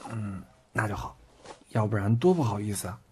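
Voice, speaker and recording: An actor playing a teasing, boyish, devoted twenty-year-old boyfriend in intimate audio drama banter, in a colloquial way. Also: male voice, on the low side, animated, brisk, darkish tone, breathy edge. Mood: bashful. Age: young adult. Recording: background music under the voice.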